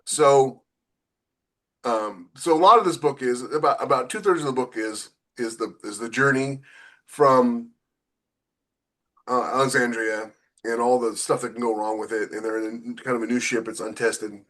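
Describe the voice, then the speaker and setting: A man's voice: mid-range voice, moderate pace, pushed and bright. A man talks in an informal podcast style, as an author explaining his own work. He thinks aloud, stopping and restarting as he builds his points, often repeating a phrase before moving on. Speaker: podcast co-host and novelist. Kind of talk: casual talk about own books.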